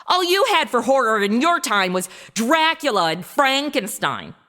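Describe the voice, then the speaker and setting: A flippant modern American sidekick in an audio comedy. Her young voice is high, bright and very animated, full of slang and excitable sarcasm. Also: moderate pace. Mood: sarcastic. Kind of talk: comedic audio drama acting.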